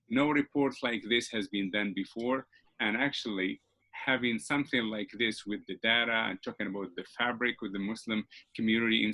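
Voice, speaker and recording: A man from Morocco with a Moroccan accent, a legislative staffer giving formal, earnest, slightly halting remarks at an online round table. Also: lowish voice, sharp tone. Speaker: state legislature staff member.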